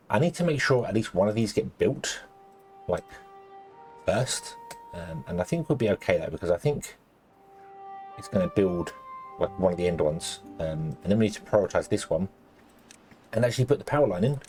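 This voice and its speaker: Croaky man with a low voice. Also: animated, normal speed, long pauses, a little mellow, soft background music. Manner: rambling, lots of ums and self-corrections. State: slightly croaky, losing his voice. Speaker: let's play host. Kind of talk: casual gameplay commentary.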